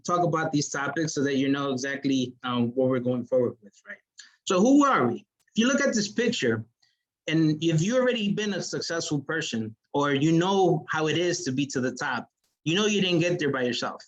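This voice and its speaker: A man's voice: a little high, low-quality recording. A man as a sales manager giving an earnest motivational business presentation on a video call, with many fillers.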